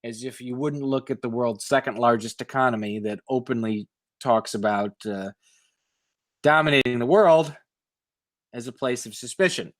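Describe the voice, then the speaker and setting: A man's voice: mid-range voice, normal speed, dry recording. Opinionated man reading news, close dry sound. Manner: opinionated, skeptical. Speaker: host reading news stories with commentary. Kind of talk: reading articles aloud with sardonic ad-libbed asides.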